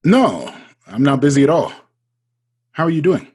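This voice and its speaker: A man acting in an audio drama as a nervous, smitten businessman, with a hesitant, self-doubting delivery and a husky voice. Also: a little high, very animated, moderate pace, darkish tone. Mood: nervous, eager. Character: nervous, smitten businessman talking himself into phoning a married woman.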